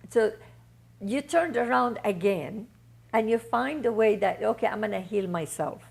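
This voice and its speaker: A woman's voice: fairly high pitch, lively intonation, moderate pace, creaky, slightly rough. A woman hosting an interview show, introducing and questioning her guest in an encouraging way, with frequent fillers. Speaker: talk show host. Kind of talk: interview host.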